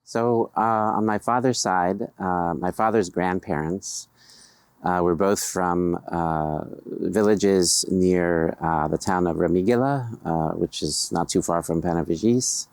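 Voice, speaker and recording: A middle-aged American man, a writer, answering interview questions in a measured, hesitant way with many pauses and fillers. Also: deep voice, darkish tone, smooth, no echo. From United States.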